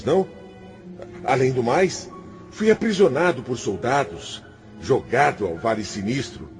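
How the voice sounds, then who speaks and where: A man's voice: mid-range voice, a little mellow. A man acting in a radio drama as a self-pitying, defiant male spirit, theatrical and complaining as he justifies himself. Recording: music under the voice.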